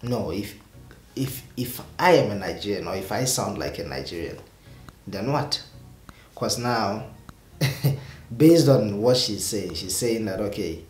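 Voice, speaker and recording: A man's voice: fairly low pitch, expressive, unhurried, pauses often, darkish tone, soft background music. Exasperated young man vlogging in a roomy room. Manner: exasperated, rambling with repeated restarts. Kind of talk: casual talk to camera. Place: small indoor room with purple lighting.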